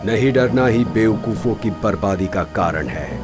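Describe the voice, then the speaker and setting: Man voicing a low, menacing monster overlord. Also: animated, normal speed, music in the background, lightly acted. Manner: imperious, threatening. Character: menacing male monster overlord, vengeful and commanding. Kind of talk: dubbed cartoon villain acting.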